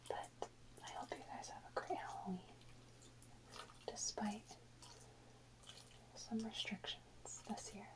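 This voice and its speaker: A woman whispering softly and unhurriedly in a casual ASMR chat, close to the microphone. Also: mid-range voice, little pitch movement, slow, pauses often, rough recording. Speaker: ASMR video host.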